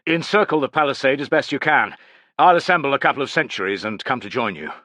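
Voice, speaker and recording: A man voicing a seasoned, gruff Roman centurion in an audiobook, blunt but dutiful, with terse restraint. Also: mid-range voice, lively intonation, fast, sharp tone, no echo, voice pushed up. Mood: businesslike. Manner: terse, restrained, respectful to superiors. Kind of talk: dramatic audiobook character acting.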